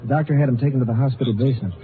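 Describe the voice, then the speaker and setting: A man's voice: medium pitch, racing, slight background noise, lightly acted. A man playing a plainspoken lawman in an old radio western, giving clipped, folksy reports to a colleague. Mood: matter-of-fact. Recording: tinny old radio recording, background commotion.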